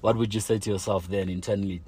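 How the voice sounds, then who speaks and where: A man's voice: lowish voice, steady tone, quick pace, darkish tone. A young man telling a personal story in casual chat, full of slang and fillers like "like" and "you know". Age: young adult.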